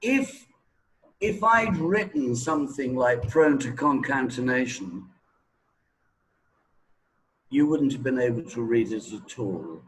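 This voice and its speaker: A man speaks at an unhurried pace, explaining in a conversational, teacherly way. He checks in with the listener as he goes. There is clear noise behind his voice. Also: mid-range voice, animated, rough recording. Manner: didactic, checking with "right?", "okay?", "yeah?". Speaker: interviewed language teacher.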